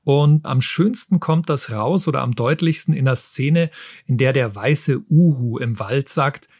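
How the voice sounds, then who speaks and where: A man's voice: medium pitch, darkish tone, breathy edge, dry recording, clean studio sound. A man hosting a book show, presenting reviews in a partly scripted narrating style. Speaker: book podcast host and reviewer.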